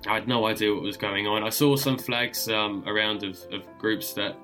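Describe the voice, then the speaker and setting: A young man speaks as an interview guest, telling his travel stories in a casual, hesitant way, stumbling over words and filling gaps with ums. His voice sits a little low, with faint music in the background. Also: fairly even intonation, normal speed, bright, forceful tone. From born in UK, raised in Germany and Australia. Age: young adult. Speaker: guest explorer.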